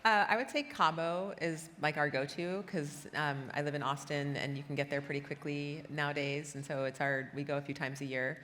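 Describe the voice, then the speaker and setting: A woman's voice: low voice, fairly even intonation, normal speed, few pauses, a bit bright, slight background noise. A middle-aged woman chatting casually as an interviewee on a panel. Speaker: interviewee, company HR executive. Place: conference stage in a large hall. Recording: reverberant hall, stage event.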